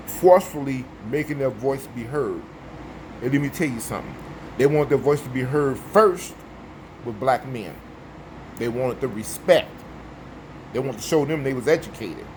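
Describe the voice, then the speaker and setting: A man with a baritone voice giving an emphatic, preachy opinion monologue, repeating his points for effect. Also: a little slow, long pauses, slight background noise, poor audio. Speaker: vlogger.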